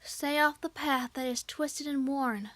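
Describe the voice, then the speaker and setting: Lady doing tiny cartoon filly, super high bright voice, plaintive, kinda slow. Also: no room sound. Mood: quiet reciting. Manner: plaintive, drawn-out cries. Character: frightened little filly, young cartoon pony girl. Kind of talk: fan fiction audio drama.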